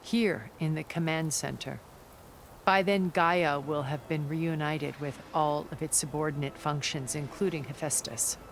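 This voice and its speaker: Low-voiced, cunning, refined schemer. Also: female voice, steady tone, a little hiss or hum. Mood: calculating, confident. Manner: measured, articulate, deliberate. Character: self-assured older woman, cunning, refined schemer with wistful memories. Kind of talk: video game cutscene acting. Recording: background music under the dialogue.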